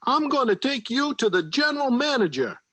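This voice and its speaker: A man reading a storybook aloud and voicing an angry male factory boss who shouts in a raised, high voice. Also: very animated, brisk, no echo, exaggerated voice.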